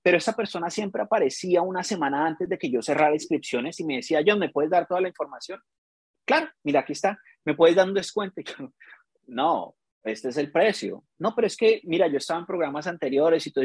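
A Colombian man with a slightly raised voice and a husky edge, talking as a business coach. He tells stories emphatically and acts out dialogue in a dry, close recording. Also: on the high side, expressive, fast, dry recording. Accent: Colombian Spanish. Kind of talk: casual podcast chat.

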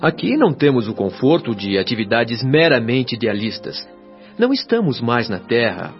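A Brazilian actor playing a patient, earnest male spirit guide in a radio drama, explaining in formal, measured, articulate phrases. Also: mid-range voice. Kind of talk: radio drama acting, didactic dialogue. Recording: dull lo-fi radio drama, music behind. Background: music.

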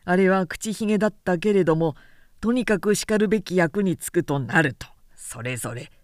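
A woman's voice: fairly low pitch, normal speed, dry recording, studio quality, lightly acted. A woman voicing a garrulous, self-important middle-aged samurai man who lectures at length in a storybook reading. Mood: pompous, rambling. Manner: long-winded, pompous, lecturing.

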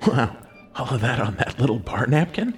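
Sarcastic male adventurer, exasperated and animated, medium pitch, music under him. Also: normal speed. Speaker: adventurer in an audio drama. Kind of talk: casual audio drama acting.

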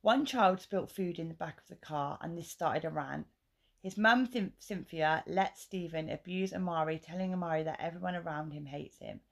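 A woman's voice: fairly low pitch, moderate pace, gravelly. A woman with a sore throat, hosting a casual true crime podcast and telling the case with emotion. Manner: chatty, tells the case with emotion. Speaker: podcast host.

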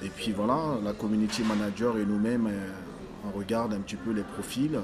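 A man born in Africa, a stylist talking as an interview guest, halting and restarting his phrases as he searches for words. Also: lowish voice, steady tone. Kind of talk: casual interview conversation.

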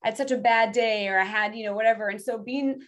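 A woman's voice: fairly high pitch, steady tone, quick pace. A woman whose first language is French, speaking English as a podcast guest and talking in an earnest, rambling way with many restarts. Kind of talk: casual interview chat.

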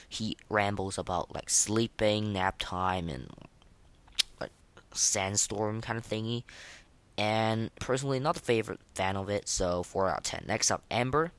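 A man with a fairly low voice hosts a casual gaming podcast. He talks in an excitable, informal, slangy way, like chatting through reviews with friends. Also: a little slow. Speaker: podcast host. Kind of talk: casual chat reviewing game voice lines.

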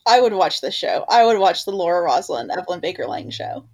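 A woman's voice: lowish voice, sing-song, a little fast, darkish tone. A woman co-hosting a podcast, talking in a casual, conversational style. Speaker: podcast co-host.

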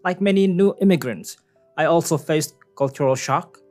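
Man from Indonesia, somewhat high voice, friendly interviewer, faint music behind. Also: normal speed, a little mellow. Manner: friendly, encouraging, laughs often. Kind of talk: casual interview chat.

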